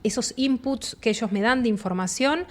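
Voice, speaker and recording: A woman's voice: on the high side, normal speed. Argentine woman explaining fluently. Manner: fluent, explanatory, animated asides. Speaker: relocation agent, guest. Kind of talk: interview, explaining.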